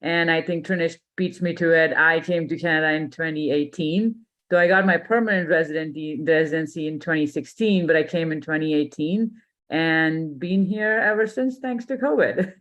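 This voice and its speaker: A woman's voice: sits low, moderate pace. A woman, a venture capital partner, speaking in a friendly way on a panel over a video call. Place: Toronto. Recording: dull lo-fi call audio.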